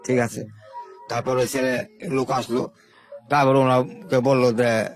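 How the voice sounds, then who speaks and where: An older man from Bangladesh, a guest telling his life story in a rambling, halting, repetitive way. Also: medium pitch, level tone, over music. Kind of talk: informal spoken life story.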